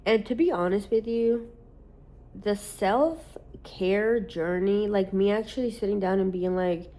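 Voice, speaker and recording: Woman, late twenties, casual vlog chat, rambling, candid, swears casually, mid-range pitch. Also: measured pace, warm tone. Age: late 20s. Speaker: social media creator.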